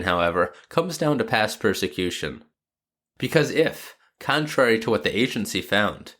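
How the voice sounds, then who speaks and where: A man's voice: fairly low pitch, fairly bright tone. A man with a slightly nasal voice from a cold, hosting a legal podcast in scripted narration with casual asides. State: has a cold. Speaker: podcast host; lawyer.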